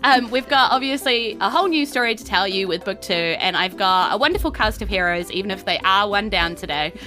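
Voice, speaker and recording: Bright-voiced New woman, giggly and informal. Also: medium pitch, brisk, soft background music. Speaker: host and game master of a podcast. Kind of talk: casual hosting of a tabletop game show.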